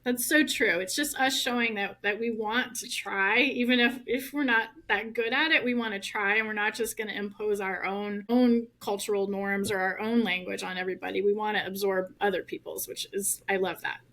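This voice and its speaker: A middle-aged woman, a law professor guesting on a podcast, telling animated stories and laughing often. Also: mid-range voice, low-quality recording. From United States. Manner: animated storyteller, laughs often, fast and chatty. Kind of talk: casual interview chat.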